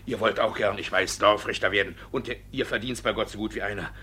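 An actor playing a cunning, guilty village judge who blusters, curses and barks orders in a classic comedy radio play. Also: male voice, on the low side, brisk, husky. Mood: conspiratorial. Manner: blustering, excitable, barking orders, cursing. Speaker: actor in lead role.

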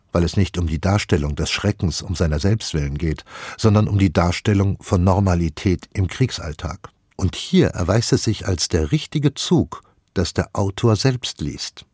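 Deep husky male radio critic. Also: normal speed, darkish tone, no room sound, clean studio sound. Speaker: book critic. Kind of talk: radio book review.

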